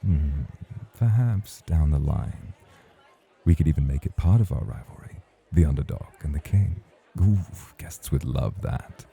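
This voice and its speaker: A man's voice: rumbling low, expressive, unhurried, dark tone, heavily acted. A man playing a suave, teasing champion nightclub host in a romantic ASMR roleplay, speaking in a courtly, provocative way. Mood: smug, playful. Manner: smooth, courtly, playfully provocative.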